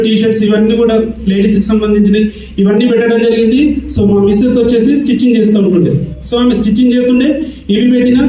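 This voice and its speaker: A man's voice: very high-pitched, dark tone. A man giving a motivational speech from personal experience, repeating phrases and linking his sentences as he goes. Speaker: motivational business speaker. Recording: roomy, distant mic, faint noise.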